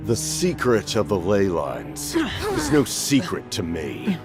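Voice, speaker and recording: A grandiose male villain in a video game, cold and sure of himself. He draws out his words very slowly with theatrical swings in pitch. Music runs clearly behind him. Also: wildly swooping pitch, exaggerated voice. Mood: cold menace. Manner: slow, theatrical, pompous.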